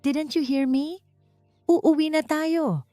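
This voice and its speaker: A woman's voice: sits high, slow pace, mellow, dry recording, pitched above the performer's own voice. A woman voicing a cold, domineering middle-aged businessman father in a story, commanding and scornful. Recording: music bed behind the voice.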